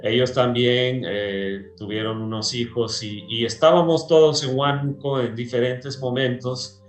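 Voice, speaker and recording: Slow-talking man, pastor. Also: mid-range voice, steady tone, reverberant, faint music behind. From Peru. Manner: slow, hesitant with many fillers. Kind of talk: casual interview chat.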